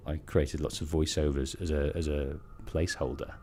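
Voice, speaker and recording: A British man with a deep, bassy voice giving measured, polite storytelling commentary as he streams a game, close on the microphone. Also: level tone, warm tone, slight background noise. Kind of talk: let's play commentary, storytelling. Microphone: close bass-heavy streaming microphone.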